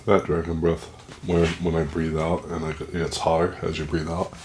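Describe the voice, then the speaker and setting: A man with a very deep, low voice talks haltingly through a pepper tasting, his nose running and blocked up. He speaks into a plugged-in microphone at home, with a faint hiss behind him. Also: flat delivery. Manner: halting, describing the burn as it builds. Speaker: pepper reviewer. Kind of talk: casual vlog commentary. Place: indoors at home.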